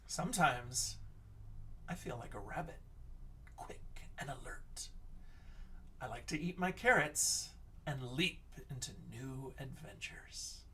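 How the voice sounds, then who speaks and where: A man teacher reading a picture book aloud and chatting to children, expressive, often dropping into hushed whispers. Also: mid-range voice, slow, long pauses, far from the mic.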